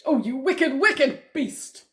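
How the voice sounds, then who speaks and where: A big, outraged elephant from a children's tale, voiced by a woman. The words come out loud and emphatic in a scolding tone, with plenty of swing in the pitch. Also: lively intonation, slow pace, echoey room, full character voice.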